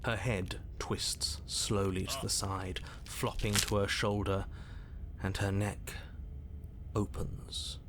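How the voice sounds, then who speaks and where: An actor playing a grave, disapproving male narrator of a horror game, speaking deliberately and ominously in literary, dramatic prose. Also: fairly low pitch, level tone, background noise. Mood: eerie, grave.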